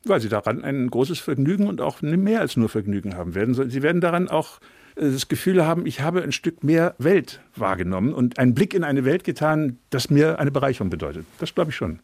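A man's voice: mid-range voice, sing-song, a little fast, a little mellow, no room sound. A man speaking conversationally as an interview guest, explaining a book and quoting lines as he goes. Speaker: literary translator, interview guest.

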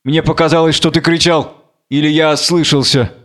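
A man's voice: on the high side, fairly even intonation, brisk, a bit bright, close to an everyday voice. A man reading the part of a commanding, scheming Philistine lord, imperious and falsely solicitous, in a biblical tragedy. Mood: falsely friendly.

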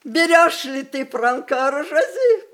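High-pitched willful old grandmother. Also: lively intonation, takes it slowly, a bit bright, exaggerated voice. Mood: triumphant. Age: older. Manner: commanding, capricious, theatrical. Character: willful, mischievous old grandmother, matriarch of the family. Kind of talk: Russian stage-play radio acting.

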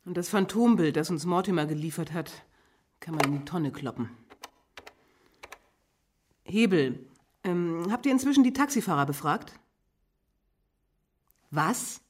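An actress playing a driven, exasperated police investigator in a radio drama, brusque and colloquial. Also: female voice, lowish voice, animated, a little slow, long pauses, a little mellow, husky. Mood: frustrated, insistent.

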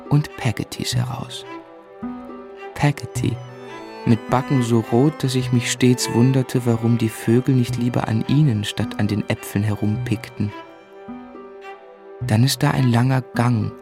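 A man's voice: mid-range voice, lightly acted. An actor playing a grown man who recounts his childhood reflectively, as the narrator of a literary radio drama. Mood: nostalgic, reflective. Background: music.

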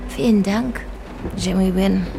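A woman's voice: medium pitch, steady tone, slow, gravelly, music in the background. An actress playing a working-class rural mother in an audio drama, wary and coaxing, with intimate, naturalistic delivery. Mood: friendly.

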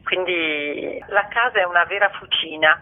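A woman's voice: lowish voice, moderate pace. Brief: female theatre-artist guest, earnest and poetic in phrasing, heard down a narrow telephone line. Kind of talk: radio phone interview.

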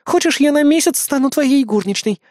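A man's voice: very high voice, expressive, moderate pace, a little mellow, no echo, clean studio sound, pitched above the performer's own voice. A man voicing a young female idol who serves as a general and keeps apologizing, in an audiobook reading. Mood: pleading. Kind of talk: audiobook character voice.

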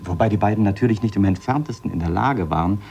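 Mellow, eager scientist theorist. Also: male voice, on the low side, fast, vocal fry, husky. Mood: earnest lecturing. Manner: professorial, insistent, explanatory. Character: eager male scientist explaining bold speculative theories. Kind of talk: 1970s German radio drama acting. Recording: 1970s radio drama, noisy background.

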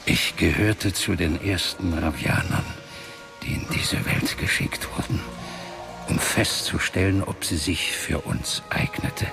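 An actor playing a grave, ancient warrior-mage father in a radio play, speaking solemnly and with measure in a breathy, gravelly voice. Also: deep voice, slow pace, full character voice. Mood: proud, reminiscing. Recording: music under the voice.

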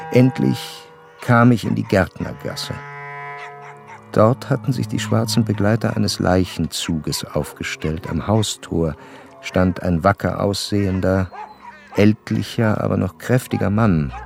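A man acting as a gentleman narrator, telling a literary story in a measured, sober way for a radio drama. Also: low voice, gravelly. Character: observant gentleman narrator recalling a poor musician.

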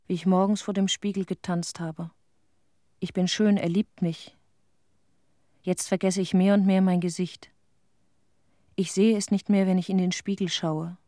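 Actress doing grieving middle-aged widow, radio play, halting and haunted, mellow voice, no room at all. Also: female voice, medium pitch, dry recording, clean studio sound, close to an everyday voice. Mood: tender, wistful. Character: grieving widow recalling her husband's death, tender, haunted. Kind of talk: intimate radio play monologue.

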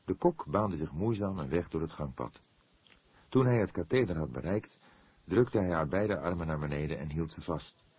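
A man reads a detective novel as a dramatic, measured and suspenseful audiobook narration. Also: deep voice, expressive, a little mellow, husky.

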